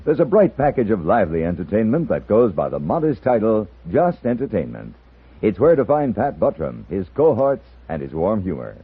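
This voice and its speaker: Casting: male 1950s network announcer, low voice with lively swings, scripted promo, tinny old radio sound. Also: slightly rough.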